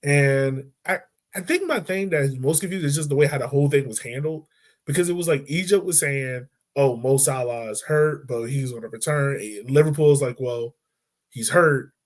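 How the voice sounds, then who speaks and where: A man hosting a podcast, chatting about football in a relaxed, slangy way, full of "like". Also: medium pitch, normal speed. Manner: relaxed, slangy, repeats phrases with "like". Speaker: podcast host. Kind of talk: casual sports chat.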